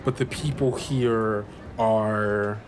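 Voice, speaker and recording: A man's voice: fairly low pitch, fairly even intonation, slow, background noise. A man narrating a tabletop game as game master, thinking aloud in a conversational way.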